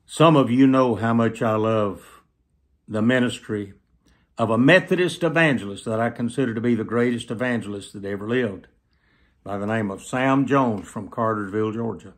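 A man in his seventies preaching through personal stories, speaking earnestly to camera with emphatic, drawn-out phrases. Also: on the low side. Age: 70s. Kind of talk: sermon storytelling told to camera. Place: small plain indoor room, seated.

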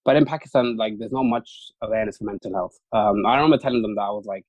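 Fast-talking young man, Urdu native. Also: lowish voice, a little mellow, no room sound, muffled recording. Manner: fast, chatty, lots of fillers and restarts. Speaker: interview guest, junior doctor. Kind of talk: casual interview talk.

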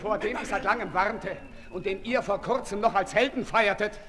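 An actor in a 1960s German radio play, playing a fiery revolutionary tribune with urgent, forceful oratory. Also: male voice, high-pitched, moderate pace, faint music behind. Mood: fiery, accusing. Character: fiery male revolutionary tribune haranguing the National Assembly. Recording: reverberant 1960s radio recording.

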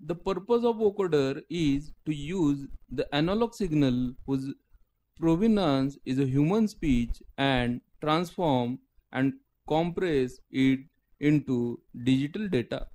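A man narrates a scripted technical lesson at a very slow pace. His voice sits a little higher than average for a man. He reads in halting chunks, often pausing in the middle of a sentence. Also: animated, mellow, low-quality recording. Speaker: lecturer.

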